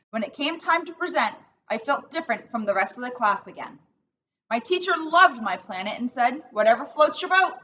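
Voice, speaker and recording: Earnest, misunderstood little zebra boy for a storybook played by a woman, bright and very animated, slightly distant recording. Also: high-pitched. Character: young male zebra, sensitive, misunderstood schoolchild. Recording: distant mic, dull sound, faint noise.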